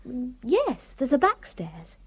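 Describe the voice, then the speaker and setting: An actress playing a brisk, practical young woman of the house who gives commands. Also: sits high, huge pitch swings, reverberant, far from the mic, full character voice. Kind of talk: 1970s radio drama acting.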